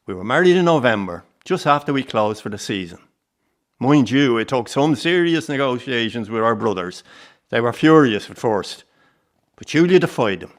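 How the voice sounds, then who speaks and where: A man's voice: mid-range voice, expressive, moderate pace, natural voice. A man acting an old Irish circus grandfather in a radio drama, nostalgic and fond, carrying old grief. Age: older.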